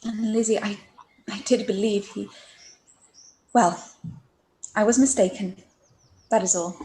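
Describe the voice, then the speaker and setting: A woman voicing a gentle, kind-hearted young woman in a live-read radio play, soft and restrained. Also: medium pitch, fairly even intonation, unhurried, natural voice.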